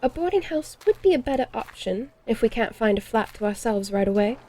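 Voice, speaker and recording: Wry vampire lady, voiced by a woman, dark mellow voice, a bit high, grumbly and theatrical, audio drama. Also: animated, a little fast. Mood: relieved, brisk. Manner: dry, theatrical, old-fashioned phrasing. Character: wry female vampire traveler, bloodthirsty and grumbling. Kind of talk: audio horror-comedy drama acting.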